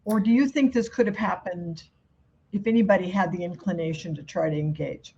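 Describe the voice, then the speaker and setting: A woman's voice: on the low side, warm tone, a little hiss or hum. Woman moderating a panel, slightly muffled sound. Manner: brisk, informal questions with fillers like "kind of".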